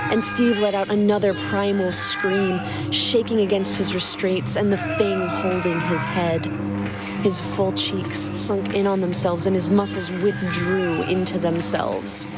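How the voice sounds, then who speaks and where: A woman doing dramatic scripted narration of fan fiction as a podcast host. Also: on the low side. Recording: music clearly behind the voice.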